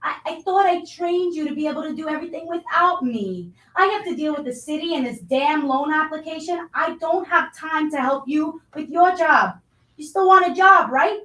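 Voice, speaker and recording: A woman's voice: high-pitched, normal speed, pushed and bright. A woman playing a harsh, demanding restaurant boss in a staged play reading, recorded from afar in a roomy space. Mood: impatient, scolding. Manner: scolding, domineering. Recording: roomy, distant microphone.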